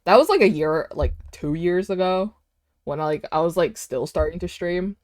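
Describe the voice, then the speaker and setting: A woman, Vietnamese, talks loosely to her stream chat. Her delivery is animated and chatty, peppered with "like" and quick laughs. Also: fairly low pitch. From United States. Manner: animated, chatty, laughs often, many "like" fillers. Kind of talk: casual livestream chat, reading chat aloud.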